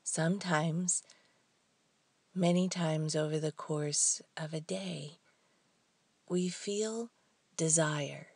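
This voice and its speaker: A woman leading a guided meditation in a soft, hushed, breathy voice, leaving long pauses between short phrases. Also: low-pitched, fairly even intonation, no echo.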